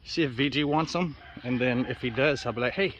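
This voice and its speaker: Bright-voiced American man, casual and profane. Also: medium pitch, brisk. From United States. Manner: profane, offhand. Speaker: vlogger. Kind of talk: casual rambling vlog chat. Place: outdoors in a yard in the Philippines. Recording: noisy outdoor recording with birds and roosters. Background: birds, roosters and outdoor ambience.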